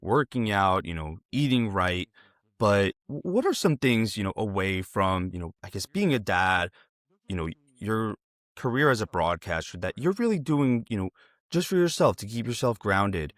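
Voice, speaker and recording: A man's voice: fairly low pitch, sing-song, moderate pace, a bit bright, dry recording. A man hosting a casual interview, talking in a wordy, affirming, conversational way. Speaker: interviewer, podcast host.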